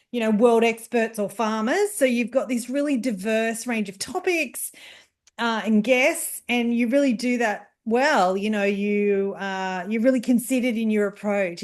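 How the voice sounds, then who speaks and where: A woman's voice: on the high side, darkish tone. A woman hosting a podcast interview in a casual, encouraging way, asking open questions. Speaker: podcast host.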